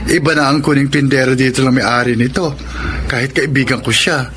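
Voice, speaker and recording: An actor playing a helpful, frank young friend in a Filipino radio drama. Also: male voice, mid-range voice, fairly even intonation, a little fast, fairly bright tone, a little hiss or hum, lightly acted. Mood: helpful, chatty.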